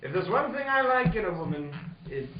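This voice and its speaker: Guy doing a blustery older gent sidekick, highish voice, way off mic in a noisy room. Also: big pitch swings, brisk, far from the mic. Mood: gallant, apologetic. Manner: blustering, old-fashioned exclamations. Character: genial, gallant, excitable older gentleman sidekick. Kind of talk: hammy old-time radio acting. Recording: muffled, noisy echoey room from afar.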